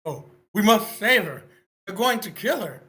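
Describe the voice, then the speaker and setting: A woman's voice: mid-range voice, expressive, normal speed, a bit bright, natural voice. A woman playing a compassionate, motherly young creature huntress in a screenplay table read. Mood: urgent pleading.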